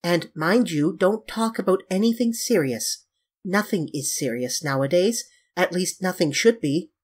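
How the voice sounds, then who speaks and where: A man voicing a flippant, petulant young English gentleman with an airy, affected, dismissive manner in an audiobook story. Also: sits high, lively intonation, measured pace, breathy edge, voice pushed up, full character voice.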